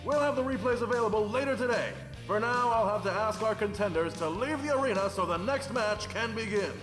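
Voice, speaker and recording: A man's voice: sits high, fairly quick, fairly bright tone, in a large hall, faint music behind. A man voicing a male arena announcer who calls the match in showy patter. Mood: announcing.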